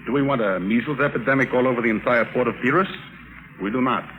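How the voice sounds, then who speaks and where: An actor playing a stern, officious hotel doctor with a pompous, emphatic delivery in a 1950s radio drama. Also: male voice, mid-range voice, fast talker, narrow, tinny audio, lightly acted. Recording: noisy 1950s radio recording.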